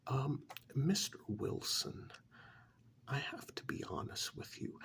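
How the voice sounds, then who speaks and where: A man playing a polite, fussy official in a soft-spoken ASMR role-play, whispering in a formal, hesitant, courteous way. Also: medium pitch, very animated, slow pace, full character voice. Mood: officious, apologetic. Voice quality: soft, breathy whisper with gravelly edge. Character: polite, fussy, methodical male tax official auditing non-human clients.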